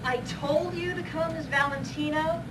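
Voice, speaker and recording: A woman's voice: high voice, normal speed, lightly acted. A woman playing a catty, arch party commentator in a live radio play. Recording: distant mic, noisy crowded hall.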